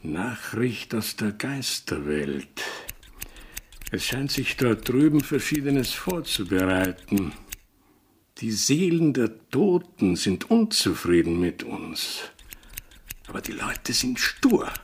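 An actor playing a solemn visionary who sees omens of doom in a radio drama, speaking in a portentous, deliberate way. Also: male voice, lowish voice, very animated, breathy edge, full character voice. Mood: ominous.

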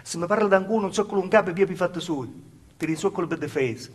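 A man performing a theatrical dialect monologue as a Calabrian peasant woman recalling her hard past, in a halting, plaintive storytelling manner with a Calabrian accent. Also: sits high, breathy edge. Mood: confiding, plaintive.

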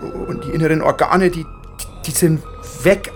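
A man's voice: high-pitched, huge pitch swings, faint music behind, full character voice. A man acting a frightened, stammering police inspector in a radio drama. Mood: shaken. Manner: stammering, halting, increasingly panicked. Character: frightened male police inspector reporting from a massacre.

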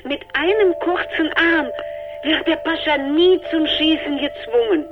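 A woman's voice: high-pitched, steady tone, unhurried, dull audio, over music. A woman playing a pragmatic, consoling friend in a radio drama. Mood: consoling, matter-of-fact.

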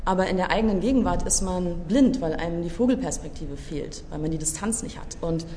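A woman's voice: fairly quick, mellow. A woman speaking as a playwright, explaining her work in an articulate, analytical way, in a reverberant room. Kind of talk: reflective explanation of own work. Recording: reverberant room, faint noise and rumble.

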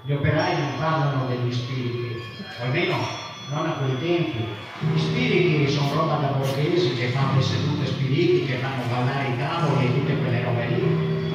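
A man's voice: mid-range voice, normal speed. A man performing a dramatic first-person spoken-word story in a colloquial, emphatic way, with conversational asides. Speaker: author reading his story at a festival. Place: live festival venue at an occupied factory. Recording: very echoey, distant live festival recording with music. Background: loud electronic music.

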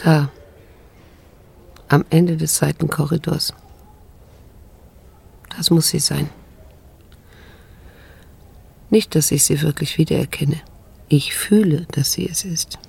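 An actress playing a troubled middle-aged daughter in a radio drama, narrating her inner thoughts in a hushed, brooding, bitter way. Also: deep voice, fairly even intonation, unhurried, gravelly, pauses often, slightly rough, exaggerated voice. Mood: tense, hesitant.

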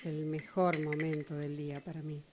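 A woman's voice: low-pitched, level tone, normal speed, noisy background. A woman performing a dramatic monologue reading, as a student in a radio drama workshop.

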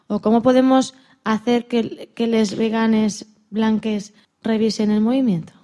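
Mellow woman radio interviewer. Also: fairly high pitch, flat delivery, unhurried.

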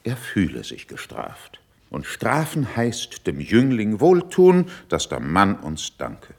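Stern older courtier, gravelly, widely varied intonation, haughty verse delivery, radio drama. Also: male voice, lowish voice, wildly swooping pitch, heavily acted. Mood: scornful. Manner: haughty, measured, rhetorical. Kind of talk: classical verse stage acting for radio.

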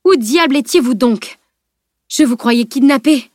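A woman's voice: high-pitched, unhurried, darkish tone, no room sound, clean studio sound, pitched above the performer's own voice. A woman voicing a pompous middle-aged bourgeois husband, blustering and formal, in an audiobook. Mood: astonished, indignant. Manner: indignant, formal. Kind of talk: dramatised audiobook dialogue.

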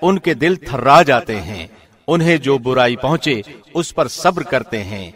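A man reading a religious translation as scripted narration, in a measured, formal, declamatory radio style. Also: medium pitch, animated, fairly bright tone. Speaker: translation reader, radio host. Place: studio.

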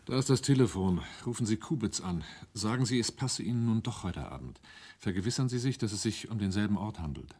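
An actor playing a sardonic, commanding schemer in a German radio play, giving orders with mocking courtesy. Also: male voice, on the low side, expressive, fairly quick, dark tone, slightly rough. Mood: wry, commanding.

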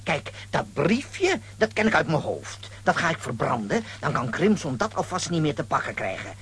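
An actor playing a bumbling, boastful but good-natured man with a husky voice and a blustering, muddled manner in a children's audio drama. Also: on the high side, very animated, normal speed, fairly bright tone, a little hiss or hum. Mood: cocky, flustered.

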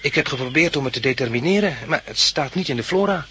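A man's voice: on the high side, lively intonation, quick pace, bright, forceful tone, slight background noise. An actor playing an earnest man who recounts his studious youth with precise diction in a 1960s Dutch radio drama. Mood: eager, boyish.

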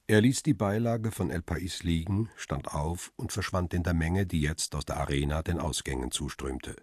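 A man narrating a radio crime drama in the first person, telling the story in a calm, steady, reflective way. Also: sits low, normal speed, breathy edge, no echo, studio quality. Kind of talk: scripted radio play narration.

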